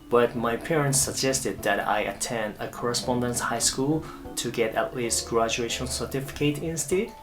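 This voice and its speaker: A man in his thirties from Japan, an English coach narrating his own vlog in careful, measured English. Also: medium pitch.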